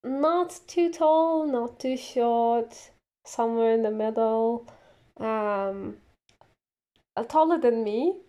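A woman's voice: fairly high pitch, slow, dark tone. A young woman whose first language is not English, a player on a role-playing podcast, speaking hesitantly with many fillers and giggles. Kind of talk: casual chat.

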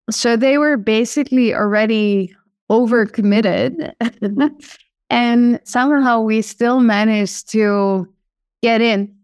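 A woman's voice: a little high, no echo, studio quality. A woman with a Dutch accent, a startup founder answering podcast questions, upbeat and laying things out step by step. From Netherlands. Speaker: guest, startup CEO. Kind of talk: podcast interview.

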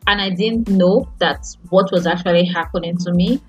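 A young Nigerian woman with a Nigerian accent, a podcast host talking casually and haltingly, full of fillers and restarts. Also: fairly low pitch, steady tone, slightly rough, dull audio, faint music behind. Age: young adult. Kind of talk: casual chat.

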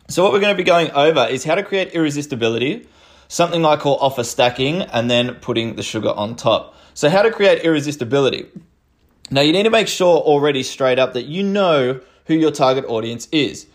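A man with a slightly croaky voice, casually talking listeners through small business marketing as a podcast host and coach. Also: fairly high pitch, expressive, brisk. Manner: chatty and informal, addresses listeners as "you guys", frequent "um" and "like".

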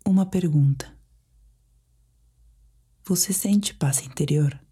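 A Brazilian woman guiding a sleep meditation in a soft, whispery, breathy voice, speaking in a calm, measured, soothing way. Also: low-pitched. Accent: Brazilian Portuguese. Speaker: meditation guide.

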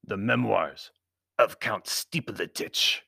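A man's voice: sits low, very animated, measured pace, sharp tone, dry recording, exaggerated voice. A man voicing a sinister foreign villain with a guttural accent, pompous and menacing, in a storybook reading. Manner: pompous, melodramatic threats. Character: sinister foreign villain, fanatical secret-society thug, menacing and theatrical.

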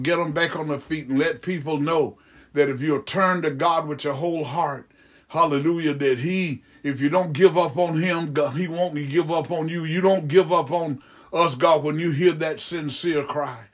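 A man's voice: on the high side, fairly even intonation, moderate pace. A man preaching in fervent, improvised Pentecostal prayer, pleading repetitively and calling out to God mid-phrase.

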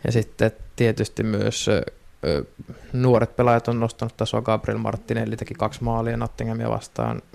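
A man's voice: lowish voice, lively intonation, vocal fry, hardly any pauses, a little mellow. A man on a football podcast panel, casually analysing players and matches in conversation.

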